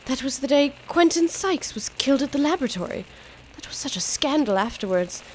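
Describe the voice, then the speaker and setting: A woman's voice: sits high, expressive, fairly quick, a little hiss or hum, low-quality recording. An actress playing a weak, exhausted wealthy mother and former captive, weary and pleading, in an old-time radio drama. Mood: shaken.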